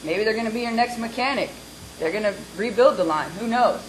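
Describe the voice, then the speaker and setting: A woman's voice: on the high side, fairly quick, slight background noise, rough recording. A woman on a discussion panel who speaks bluntly and encouragingly, with a plain hands-on manner.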